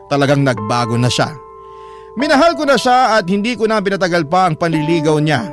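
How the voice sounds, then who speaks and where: A man with a Filipino accent reads a personal story in scripted radio-drama narration. His voice sits a little high for a man, and music plays clearly behind him. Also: sing-song, brisk. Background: background music.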